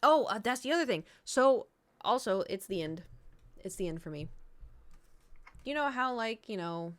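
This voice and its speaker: A woman's voice: fairly high pitch, expressive, normal speed, a bit bright. A woman in her thirties chatting casually to livestream viewers, rambling informally, swearing freely and repeating herself for emphasis. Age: 30s. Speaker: livestream host.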